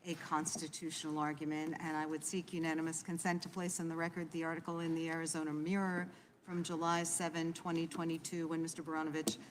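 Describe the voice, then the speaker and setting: A woman who is a ranking committee member, making formal remarks in a measured, procedural manner. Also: low-pitched, flat delivery. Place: large hearing room. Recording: hearing room with long reverb and faint noise.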